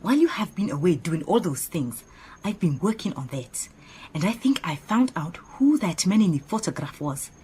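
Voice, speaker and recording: Animated, opinionated woman assistant. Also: fairly low pitch, moderate pace, a little hiss or hum, low-quality recording. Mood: proud, eager. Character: earnest, opinionated female detective's assistant, proud and chatty. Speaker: actor in radio drama. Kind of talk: radio drama acting.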